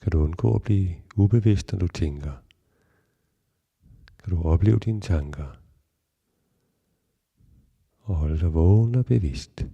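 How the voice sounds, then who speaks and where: A man guiding a relaxation meditation in a calm, measured, instructional way with long pauses. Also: sits low, gravelly, breathy edge, lo-fi sound. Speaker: yoga nidra guide.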